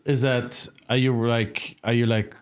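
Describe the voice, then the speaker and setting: A man's voice: mid-range voice, flat delivery, measured pace, clear, resonant tone. A man hosting an interview show, chatting in a friendly, casual way and restarting his questions as he goes. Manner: friendly, restarts and repeats words when asking questions. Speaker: podcast host.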